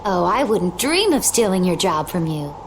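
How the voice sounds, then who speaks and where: A woman's voice: fairly low pitch, sing-song, normal speed, noisy background. An actress playing a serene, teasing young woman in a video game, with a coy manner. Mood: gently teasing. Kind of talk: English video game dub acting.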